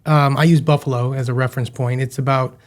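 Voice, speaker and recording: A man's voice: mid-range voice, steady tone, fast talker. A man in his thirties from western New York, a chef telling stories in a chatty, self-deprecating way as a podcast guest. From western New York, USA. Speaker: guest, chef and business founder. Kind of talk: casual interview chat.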